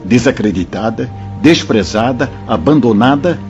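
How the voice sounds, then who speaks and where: Actor as a wise spirit mentor, sweeping intonation. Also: male voice, mid-range voice, animated, lo-fi sound, over music, close to an everyday voice. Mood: solemn. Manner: didactic, solemn, measured preaching delivery. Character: wise, stern male spirit mentor, patient teacher. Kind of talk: radio drama acting.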